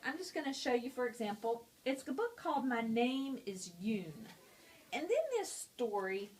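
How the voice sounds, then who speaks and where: Teacher woman with a higher voice. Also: a little hiss or hum, low-quality recording. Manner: friendly, explanatory, talking to students. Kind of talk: explaining a lesson on a picture book.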